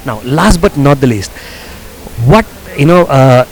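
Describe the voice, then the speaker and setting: A man's voice: medium pitch, lively intonation, a little slow, a little hiss or hum, rough recording. A man interviewing bar staff, asking friendly prompting questions. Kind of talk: news interview.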